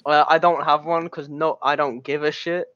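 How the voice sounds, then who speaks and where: A young man jokes and needles his friends in loose podcast chat. His voice sits a little high. It comes through a dry, muffled recording. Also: little pitch movement, moderate pace, a bit bright, clear, resonant tone, no room sound. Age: young adult. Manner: teasing, swears a lot, laughs often. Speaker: podcast co-host. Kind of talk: casual chat.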